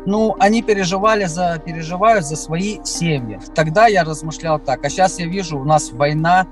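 Rich: An earnest, emphatic man with a somewhat high voice. He is recorded outdoors by a sports pitch on a lapel mic, with music playing clearly underneath. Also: moderate pace. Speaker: interviewee.

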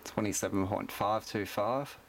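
Low-voiced man, noisy recording. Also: measured pace, vocal fry. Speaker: radio hobbyist presenting his equipment. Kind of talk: casual unscripted product demonstration. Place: indoors at a desk, behind the camera.

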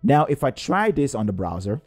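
A man's voice: medium pitch, very animated, moderate pace, a little mellow, dry recording, soft background music. A man narrating a scripted step-by-step coding tutorial in a methodical, explanatory way. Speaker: tutorial presenter.

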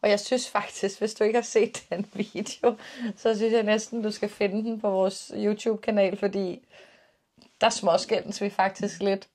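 A woman's voice: medium pitch, level tone, darkish tone. A woman, chatting casually with teasing banter as a co-host. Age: early 40s. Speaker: video podcast host. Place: small home office with bookshelves, indoors. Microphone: clip-on lavalier.

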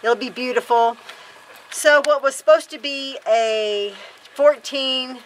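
A woman's voice: high voice, takes it slowly, a little hiss or hum, low-quality recording. A woman in her fifties chatting casually to camera outdoors on a wooden deck, rambling and correcting herself as she talks. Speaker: homesteader vlog host.

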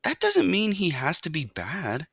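A woman's voice: on the low side, huge pitch swings, measured pace, creaky, pushed and bright, slightly rough, no echo, studio quality, voice pushed up, exaggerated voice. A woman voicing a plucky, boastful young boy mouse in a children's storybook, eager and defiant.

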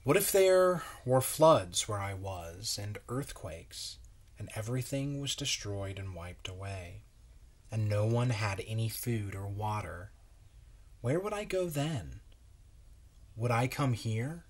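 A man in his thirties reading a children's picture book aloud in a measured, wondering way with pauses. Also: lowish voice, very animated. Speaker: children's story reader. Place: small bright room at home, indoors.

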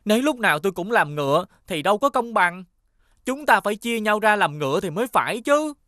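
A woman voicing a naive, bullied young boy with an earnest, plaintive manner in an animated fairy tale. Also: mid-range voice, fairly quick, dry recording, studio quality. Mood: protesting.

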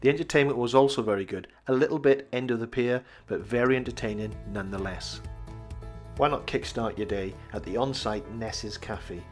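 A man reading a scripted campsite review voice-over in an even, measured way. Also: medium pitch, faint music behind.

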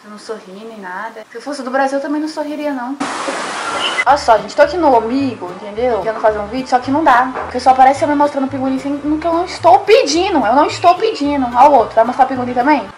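A woman's voice: sits high, fast talker, background noise. A young woman in her twenties with a Brazilian Portuguese accent, chatting with strangers on a webcam with teasing, sarcastic banter from her home kitchen. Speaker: YouTuber. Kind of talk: casual webcam chat with strangers. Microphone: laptop webcam mic.

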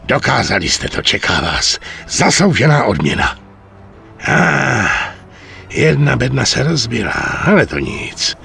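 Husky, gravelly mafia boss. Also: male voice, medium pitch, big pitch swings, pushed and bright, faint music behind, full character voice. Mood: jovial, paternal. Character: middle-aged mafia boss, paternal and smooth-mannered. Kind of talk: dubbed video game cutscene acting.

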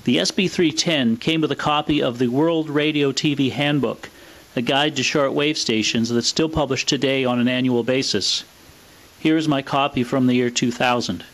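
A man's voice: medium pitch, steady tone, normal speed, low-quality recording. A man presenting in scripted narration, explaining equipment in a methodical, matter-of-fact way. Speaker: presenter of a vintage radio equipment video.

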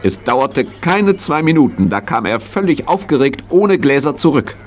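An actor narrating a children's horse story as storyteller in a German audio drama. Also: male voice, mid-range voice, very animated, normal speed, lightly acted. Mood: lively.

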